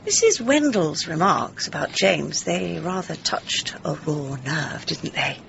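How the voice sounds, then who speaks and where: An actress playing a gentle vicar's wife, genteel and easily flustered, in a radio comedy-drama. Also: female voice, low-pitched, sing-song, takes it slowly, vocal fry, slight background noise, full character voice, low-quality recording. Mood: gently knowing.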